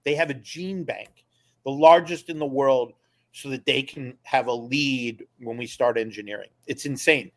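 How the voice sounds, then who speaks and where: A man of middle pitch speaks as a guest on a podcast. He explains in an animated, emphatic way, pushing his points with quick asides. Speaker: guest, former government official and attorney. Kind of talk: casual interview conversation.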